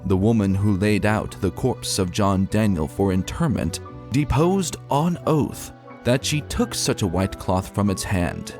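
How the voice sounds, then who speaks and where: A man reading a scripted old ghost account as narrator, in a measured, formal storytelling manner. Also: lowish voice, expressive, darkish tone, soft background music.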